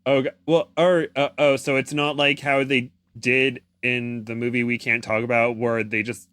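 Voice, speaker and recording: A man's voice: mid-range voice, level tone, moderate pace, fairly bright tone, no room sound. A man co-hosting a podcast, chatting casually and reacting in a playful, giggly way. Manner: giggly, playful, puts on silly quotes. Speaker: podcast co-host.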